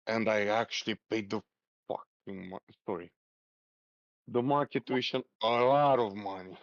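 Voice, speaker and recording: A man from Romania, chatting casually as a trader, halting and repeating phrases for emphasis. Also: lowish voice, no room sound. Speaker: trader taking part in a group voice chat. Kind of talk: casual chat, personal anecdote. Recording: dull, lo-fi voice chat audio.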